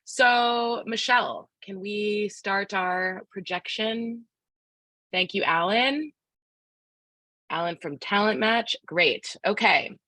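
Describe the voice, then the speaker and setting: A woman's voice: medium pitch, takes it slowly, sharp tone, no echo. A woman from Philadelphia presenting a live online workshop. She speaks in an upbeat, encouraging way, asks the audience to join in, and uses many fillers. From Philadelphia, United States. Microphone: video call microphone.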